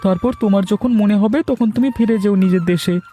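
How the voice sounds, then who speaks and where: A man's voice: high voice, brisk, mellow, faint music behind, close to an everyday voice. A man voicing a helpful, exclaiming cartoon fisherman. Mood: kindly. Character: animated, helpful river fisherman. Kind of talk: cartoon dubbing.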